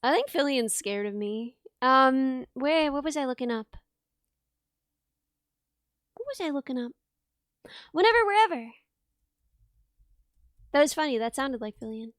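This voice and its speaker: A young woman streamer chatting casually, hoarse and losing her voice, rambling and giggling at herself. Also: high-pitched, lively intonation, measured pace, long pauses, fairly bright tone, no echo, studio quality. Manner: rambling, giggly, self-mocking. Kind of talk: casual chat with live chat. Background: music playing.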